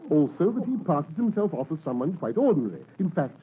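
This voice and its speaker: An actor playing an anxious, flustered provincial official in a vintage radio comedy, speaking fretfully and emphatically. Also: male voice, on the high side, big pitch swings, fast, slightly rough, full character voice. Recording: muffled vintage radio recording.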